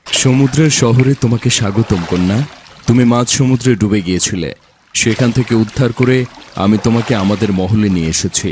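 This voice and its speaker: A man voicing a calm, courteous young man of an undersea palace in a fairy-tale cartoon, speaking formally. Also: medium pitch, a little hiss or hum, close to an everyday voice. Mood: welcoming. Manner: formal, measured.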